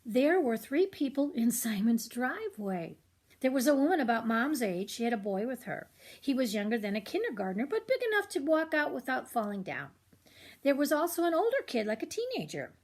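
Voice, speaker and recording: Older lady reading a kids book out loud, bit high and husky voice, expressive. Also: fairly quick. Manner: expressive, acts out the dialogue. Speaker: read-aloud storyteller. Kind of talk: reading a children's chapter book aloud.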